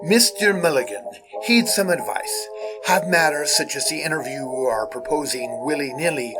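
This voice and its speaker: An actor playing a stern, pompous older gentleman who speaks formally and disapprovingly. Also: on the high side, moderate pace, over loud music, natural voice, rough recording. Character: stern pompous older male football founder.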